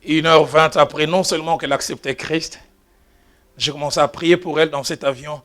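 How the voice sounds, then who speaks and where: A man preaching a sermon as pastor, telling stories and shifting from quiet asides to loud exclamations. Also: a little high, steady tone, moderate pace. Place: church.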